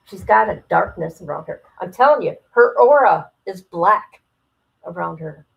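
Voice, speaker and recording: A woman's voice: on the low side, lively intonation, normal speed, creaky, highs cut off. A woman hosting a casual livestream, reading aloud and commenting in a blunt, incredulous, gossipy way. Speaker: livestream host reacting to a document.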